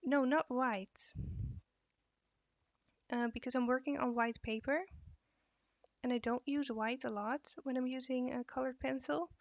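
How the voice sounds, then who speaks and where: A woman's voice: high-pitched, unhurried, gravelly, pauses often, dry recording. A young Dutch woman teaching drawing on a live stream, tired late at night, answering chat as she works. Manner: relaxed, reads and answers chat questions while drawing. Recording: dull, dry sound.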